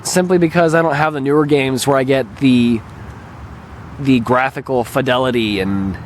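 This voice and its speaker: A man speaks at a middle pitch with a slightly raspy, hoarse edge. He chats in an unhurried, musing way, as a channel host would. He is recorded outdoors on a handheld camera, with faint ambient noise around him. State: mildly hoarse, recovering throat. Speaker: video channel host and gamer. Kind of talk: casual rambling vlog talk. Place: open lakeshore beach outdoors. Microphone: handheld camera held by the speaker.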